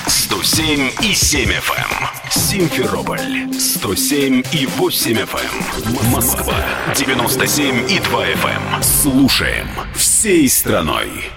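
A male announcer delivers a radio station ident over loud music. His voice is mid-pitched and swoops widely, with slow, theatrical, slogan-like phrasing. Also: huge pitch swings, creaky. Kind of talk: produced radio jingle, scripted station ident.

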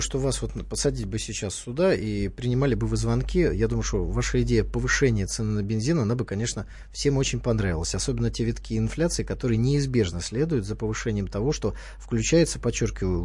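A man's voice: mid-range voice, animated, a little fast, few pauses, dark tone, breathy edge. A man commenting on a talk radio show, arguing his points with irony and formal politeness. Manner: ironic, argumentative, formal address. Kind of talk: studio talk radio discussion. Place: radio studio.

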